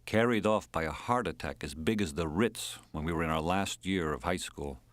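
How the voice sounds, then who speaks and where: A man's voice: deep voice, normal speed, no room sound, lightly acted. A man reading an audiobook as an earthy, wry American man reminiscing, speaking in clipped colloquial phrases with an American accent.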